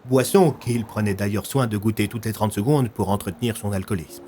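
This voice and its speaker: A man's voice: fairly low pitch, a little fast, warm tone, a little hiss or hum. A man narrating a scripted audio story as its storyteller.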